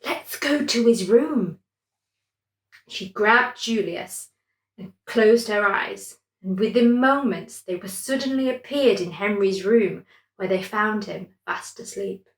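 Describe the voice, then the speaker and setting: Slow-paced woman storyteller, roomy sound. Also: mid-range voice. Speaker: storyteller, author reading own story. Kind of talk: scripted bedtime story narration.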